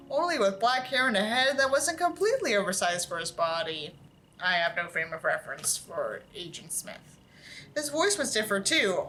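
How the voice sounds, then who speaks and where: A woman's voice: medium pitch, fairly bright tone, poor audio. A woman reading a book aloud theatrically, with sarcastic, exaggerated delivery and dry asides. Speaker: host reading a book aloud with mocking commentary.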